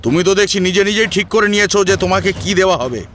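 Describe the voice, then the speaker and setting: A man's voice: high voice, lively intonation, fast talker, a bit bright, soft background music, pitched above the performer's own voice. A man playing a stern, angry elder brother in an audio drama, scolding and giving orders. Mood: scornful. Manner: scolding, commanding. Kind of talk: Bengali audio story acting.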